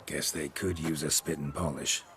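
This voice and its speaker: An actor playing a gruff, weary swordsman who hunts monsters in a video game, speaking in terse, deadpan sentences. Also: very deep voice, moderate pace, a little hiss or hum. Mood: probing.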